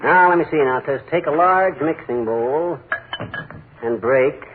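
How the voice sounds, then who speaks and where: An actor playing a boastful, bumbling middle-aged husband in a 1940s radio comedy, talking in a brash, wisecracking way. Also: male voice, fairly high pitch, measured pace, natural voice. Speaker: comic lead of a radio sitcom. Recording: noisy 1940s radio broadcast, lo-fi.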